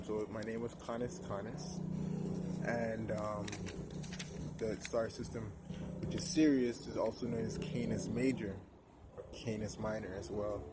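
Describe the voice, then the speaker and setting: Halting young man, low flat voice. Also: very noisy. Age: 20s. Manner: halting, full of pauses and restarts. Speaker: vlogger. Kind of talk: personal spiritual monologue to camera.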